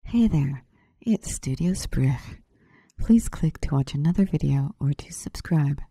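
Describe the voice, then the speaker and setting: A woman speaks in a breathy, hushed voice with a very dark, muted tone. It is a soft ASMR outro, recorded dry and close. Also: low-pitched, animated, unhurried, no echo. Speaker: ASMR channel host.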